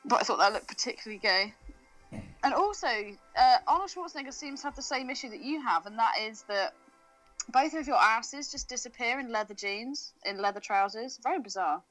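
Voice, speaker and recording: Animated, high-pitched woman. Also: brisk, soft background music, rough recording. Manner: giggly, sweary, animated. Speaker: podcast co-host. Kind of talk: casual banter about a film.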